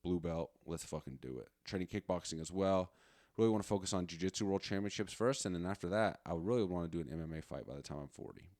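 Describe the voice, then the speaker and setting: A man's voice: low-pitched, quick pace, a little mellow, no room sound, studio quality. A man in his late thirties hosting a solo podcast, chatting in a casual, rambling, slangy way with plenty of swearing. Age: late 30s. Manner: profane, slangy, informal. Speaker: podcast host.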